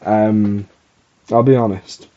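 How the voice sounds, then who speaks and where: A man's voice: lowish voice, fairly even intonation, slow, narrow, tinny audio, slight background noise. A young man with a Scottish accent rambling casually and self-deprecatingly as he hosts a solo podcast. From Scotland. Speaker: podcast host.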